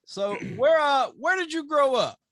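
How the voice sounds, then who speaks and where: A male podcast host with a high, bright, forward voice who welcomes his guest in an upbeat, announcer-like way. The recording is dry and close, with no room around it. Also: animated, a little slow, dry recording. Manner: upbeat, announcer-style introductions. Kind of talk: podcast interview.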